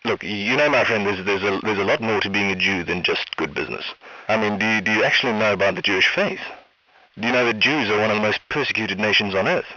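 A man's voice: fairly low pitch, fast talker, sharp tone, no room sound. A man speaking politely and earnestly, a little hesitantly, as a rabbi taking a phone call. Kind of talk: polite, serious phone conversation. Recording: dull lo-fi phone call audio.

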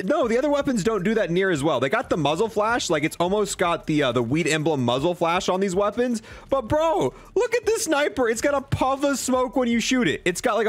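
A young man giving casual, slangy, excitable commentary as he reviews game content. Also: high-pitched. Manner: excitable, slangy bro-and-dude talk. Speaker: gaming video creator.

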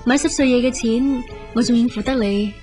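A young little sister in a radio drama, played by a woman, with a clearly high voice. She is hesitant and deferential, speaking earnest, grateful Cantonese. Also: fairly even intonation, normal speed, darkish tone, soft background music, close to an everyday voice.

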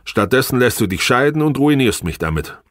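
A man's voice: medium pitch, big pitch swings, fairly quick, dry recording, clean studio sound, voice pushed up, close to an everyday voice. A man voicing a weary, cornered husband who reasons defensively and with controlled restraint in an audiobook. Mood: cold, bitter. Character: weary, cornered husband, stubbornly unimpressed, placating. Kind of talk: audiobook character dialogue.

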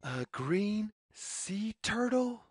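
A woman reading a children's picture book aloud in a sing-song, deliberate, word-by-word way. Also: medium pitch, big pitch swings, a little mellow, slightly rough, no room sound, clean studio sound. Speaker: story reader.

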